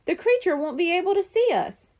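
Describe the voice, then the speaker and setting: A woman's voice: extremely high pitch, very animated, fairly quick, a little mellow, voice pushed up. A woman voicing a clever, confident young girl planner in a children's storybook.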